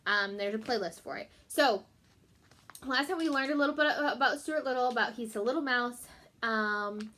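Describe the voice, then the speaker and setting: Lady teacher doing a kids book read aloud, voice a bit high, expressive, talks right to the kids. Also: normal speed. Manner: expressive, addresses young listeners directly. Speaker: teacher reading aloud to pupils. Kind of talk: children's book read-aloud with short explanatory asides.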